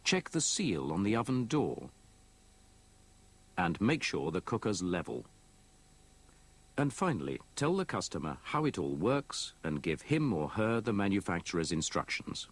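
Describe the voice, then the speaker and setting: A man reads scripted instructional narration in a measured, methodical, explanatory way, copied from an old VHS tape. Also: low voice, very animated, slightly rough. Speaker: training film narrator.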